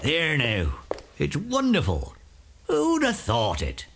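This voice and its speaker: A man voicing a kindly rustic shepherd with a folksy, unhurried manner in a children's audio drama. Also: on the high side, huge pitch swings, gravelly, background noise, pitched above the performer's own voice, heavily acted. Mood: pleased.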